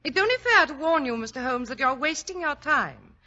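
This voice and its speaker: A proud, unyielding young lady of high society in vintage radio drama. Her voice is high and sharp, formal and icy in manner. The recording is lo-fi. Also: animated, a little fast. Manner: formal, haughty, precise. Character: haughty, icy, stubborn young upper-class woman. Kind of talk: 1940s radio acting. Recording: dull lo-fi old radio recording.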